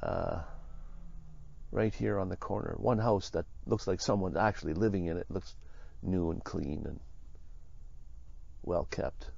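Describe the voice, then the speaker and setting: A man casually narrating his walk through abandoned houses, easygoing and halting, with frequent chuckles. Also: deep voice, vocal fry, darkish tone, dull audio. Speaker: vlogger. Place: outdoors in a rural ghost town and inside abandoned houses.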